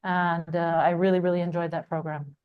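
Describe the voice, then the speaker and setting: A woman's voice: lowish voice, flat delivery, a little fast, smooth, muffled recording. A woman from Colombia, a storyteller talking informally and reflectively about her craft.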